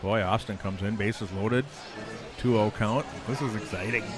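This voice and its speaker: A man with a fairly low voice adds color commentary to a baseball broadcast, slightly sniffly from a cold. He chimes in with short agreeing remarks, and a ballpark crowd is heard behind him. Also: normal speed. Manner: brief agreeing interjections, laughs easily. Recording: crowd noise in the background.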